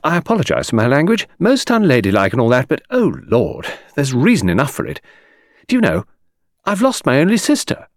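A man doing a pert, cheeky girl of about seventeen, talking in a raised, bouncing voice full of teasing swings. She chatters on theatrically and loves to shock, pitched well above his own speaking voice, in a dry, close storybook reading. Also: huge pitch swings, fairly quick, no room sound. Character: impudent cheeky young woman, teenage actress.

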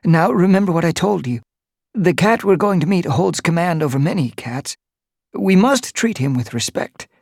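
A skinny gray tomcat warrior, put on by a man reading the story, his voice pushed up high and strained. He talks tensely and trips over his words when challenged, husky underneath. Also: lively intonation, moderate pace, a little mellow, no echo, studio quality, full character voice. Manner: tense, stammering. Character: nervous skinny gray male warrior cat.

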